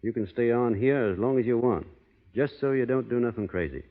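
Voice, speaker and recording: An actor playing a plainspoken, stubborn, honorable cowboy with a drawling American Western accent in an old radio western. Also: male voice, medium pitch, fairly quick, narrow, tinny audio, natural voice. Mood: grave, quietly warning. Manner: drawling folksy cowboy talk, understated. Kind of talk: 1930s-40s radio western acting. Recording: boxy old radio recording, hall reverb.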